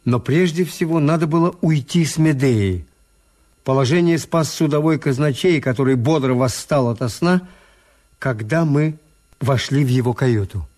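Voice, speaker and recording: An actor playing a wry, cautious hotel owner who narrates a Soviet radio drama in a measured literary way. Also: male voice, mid-range voice, a little mellow, natural voice. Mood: wry narration. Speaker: narrator and lead character.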